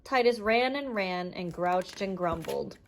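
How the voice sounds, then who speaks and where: A woman reading a children's storybook aloud in an animated narrating style. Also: lowish voice, a little slow, creaky, slight background noise. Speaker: children's audiobook reader.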